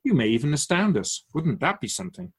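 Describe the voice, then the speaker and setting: A man playing a wise, otherworldly spirit in a radio drama, patient and gently teasing, speaking in a measured, formal way with musing questions. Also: a little high, sing-song, slightly rough. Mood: musing, encouraging.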